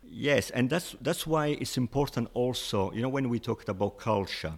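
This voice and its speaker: A man's voice: medium pitch, animated, normal speed, breathy edge. A man with an Italian accent, a Spanish teacher, explaining patiently as an interview guest and often saying "you know".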